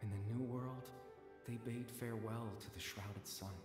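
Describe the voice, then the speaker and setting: An actor playing a mournful man who recites a farewell poem in a slow, hushed, tender manner. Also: mid-range voice, steady tone, natural voice. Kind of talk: solemn poetic recitation. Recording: echoey hall with music.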